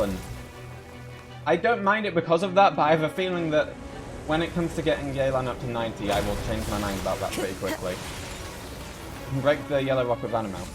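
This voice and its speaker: A man streams a video game with casual commentary. His voice sits at an average male pitch, and he mutters his thoughts to himself as he goes. Game music plays clearly behind him. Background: video game music and sounds.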